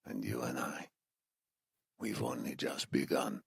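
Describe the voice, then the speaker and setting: Man voicing a low, menacing Middle Eastern interrogator. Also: very animated, vocal fry, breathy edge, no room sound, clean studio sound, heavily acted. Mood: cold, mock-friendly. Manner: soft-spoken, mock-friendly, deliberate. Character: menacing muscular Iranian interrogator, coldly polite torturer.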